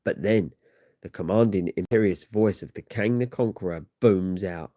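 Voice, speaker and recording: A man's voice: low-pitched, moderate pace, dark tone. A man giving a theatrical, grandiose scripted narration of a comic-book story. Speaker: narrator of a comic read-along.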